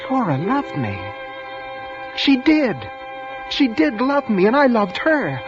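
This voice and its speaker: An actor playing a nervous, guilt-ridden husband who narrates his own crime in an intense, halting 1940s radio style. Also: sits high. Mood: anguished, regretful. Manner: intense, haunted, halting delivery. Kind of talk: 1940s radio thriller acting, first-person narration. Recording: muffled vintage radio broadcast, music underneath.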